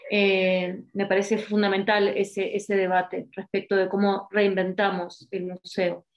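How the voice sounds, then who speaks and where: A woman's voice: medium pitch, little pitch movement, a little slow, lo-fi sound. Woman moderator, home video call, reads chat questions, tags remarks with a questioning word. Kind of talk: moderating a panel discussion. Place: home room with posters.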